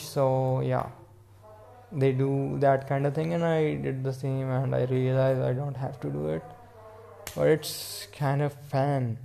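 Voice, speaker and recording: A man with an Indian accent hosts a casual solo podcast in a rambling, halting manner. His voice is dark and fairly flat in pitch. A light layer of background noise sits under the recording. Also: creaky. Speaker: podcast host.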